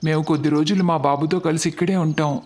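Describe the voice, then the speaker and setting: A man playing a wealthy, generous brother-in-law from the city in an animated family story, earnest and assured. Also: fairly high pitch, quick pace, close to an everyday voice. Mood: casual, hopeful.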